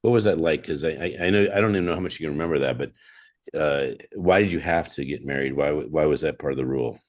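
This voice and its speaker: A man's voice: extremely low pitch, quick pace. A man hosting a conversational interview show, effusive and repeating phrases for emphasis. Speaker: talk show host.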